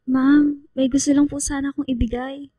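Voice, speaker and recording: An actress playing a shy, polite young female student in a radio drama, soft-spoken and hesitant. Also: sits high, level tone, moderate pace, no room sound, dull audio, lightly acted. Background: music under the voice.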